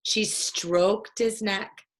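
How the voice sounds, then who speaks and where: A woman's voice: medium pitch, fairly even intonation, very slow. A woman reading a children's storybook aloud expressively for young listeners. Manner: addresses young listeners, acts out dialogue. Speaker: story reader.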